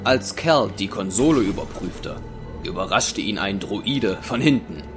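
A man's voice: lowish voice, lively intonation, a little slow. A man narrating an audio drama as a scripted storyteller. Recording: music behind the voice.

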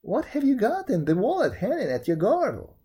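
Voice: mid-range voice, huge pitch swings, brisk, warm tone, voice pushed up. A storyteller voicing an eager, cheeky monkey in a storybook tale, inquisitive and exclamatory. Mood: eager, curious. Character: eager, cheeky chattering monkey wanting dumplings. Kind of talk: animated storybook character voice.

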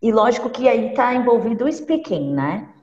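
A woman's voice: fairly high pitch, sing-song, normal speed, darkish tone, muffled recording. Agreeable woman interviewer, hall echo. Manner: agreeable, frequent short affirmations. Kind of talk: casual interview.

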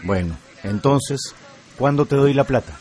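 An actor with a Peruvian accent playing a shrewd older moneylender in a radio drama, familiar but firmly transactional. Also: male voice, medium pitch, lively intonation, a little slow, darkish tone, close to an everyday voice. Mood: shrewd, insistent.

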